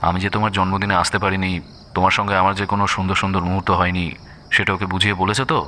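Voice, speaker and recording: An actor playing a jealous, lovesick young boyfriend who lives far away, in a romantic audio drama. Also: male voice, low voice, little pitch movement, racing, sharp tone. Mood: sulky, suspicious. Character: jealous, lovesick long-distance boyfriend, young adult man.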